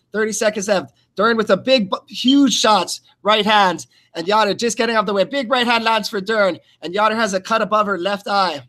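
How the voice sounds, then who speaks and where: Male play-by-play sports commentator, high register, blow-by-blow delivery with frequent stumbles and repeats. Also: a bit bright. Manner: halting, repeats words and stumbles mid-phrase.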